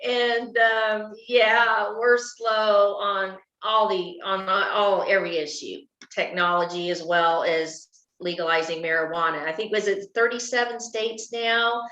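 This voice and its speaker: A woman's voice: medium pitch, fairly even intonation, measured pace. A woman running for office, answering interview questions in a casual, talkative way and laughing often. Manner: laughs often, self-described loud voice. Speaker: political candidate being interviewed. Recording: echoey, dull lo-fi recording.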